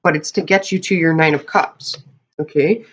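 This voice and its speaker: Hoarse, chatty tarot reader. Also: medium pitch, fairly even intonation, normal speed, muffled recording. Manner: chatty, often checks in with "okay?"; clears throat often. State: hoarse, losing voice. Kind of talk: casual monthly tarot reading to camera.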